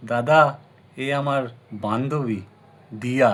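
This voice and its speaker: A man's voice: mid-range voice, takes it slowly, fairly bright tone. A man voicing an eager young village doctor, the visiting younger brother in a doll story. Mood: bashful.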